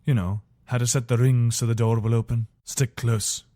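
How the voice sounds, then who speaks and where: A man's voice: mid-range voice, little pitch movement, normal speed, mellow, no room sound, clean studio sound, close to an everyday voice. A man playing a loyal, wary soldier of an imperial legion in a video game, speaking in an earnest, soldierly manner. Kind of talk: video game character voice lines.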